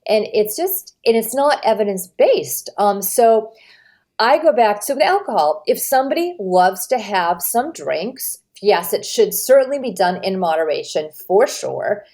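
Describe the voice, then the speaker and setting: Middle-aged woman, American, animated, emphatic, lively swings of pitch. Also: unhurried. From United States. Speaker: registered dietitian, guest. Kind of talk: conversational podcast interview.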